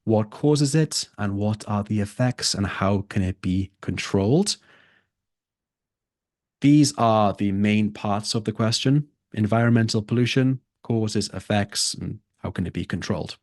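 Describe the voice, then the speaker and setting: A man's voice: lowish voice, measured pace, darkish tone, dry recording, studio quality. A man who tutors for a test, teaching a live online lesson and repeating his key points for emphasis.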